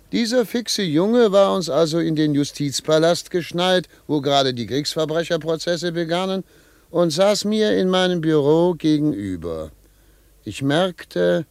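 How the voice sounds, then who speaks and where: A self-assured American lawyer in a radio play. His intonation is very lively, in a dry, close studio sound. He is middle-aged, brisk and theatrical, as if thinking aloud. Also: a little high, no echo. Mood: measured narrating. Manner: theatrical, pondering aloud, repeating words for emphasis. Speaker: narrator and lead character. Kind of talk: German radio play acting.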